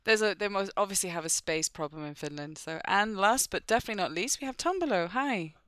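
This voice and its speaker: A woman's voice: medium pitch, lively intonation, fast talker, a bit bright, smooth, no echo, clean studio sound. A woman hosting an online interview, chatty and friendly with frequent fillers. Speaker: interviewer, host. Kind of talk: podcast interview.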